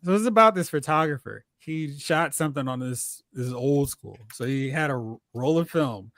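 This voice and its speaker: A man hosting a casual chat about TV and film, telling stories in an animated, exclamatory way. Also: mid-range voice, moderate pace, no echo, studio quality. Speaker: podcast host.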